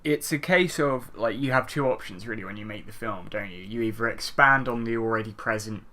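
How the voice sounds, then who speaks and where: A man's voice: fairly low pitch, a little fast, pushed and bright. A man talking casually as a panelist on a podcast. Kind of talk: casual chat.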